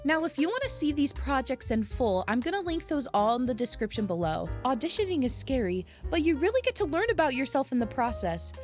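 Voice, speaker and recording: A woman's voice: sits high, sing-song, fairly quick, hardly any pauses, faint music behind. A woman narrating a vlog in an enthusiastic, earnest way. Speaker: aspiring voice actor, content creator.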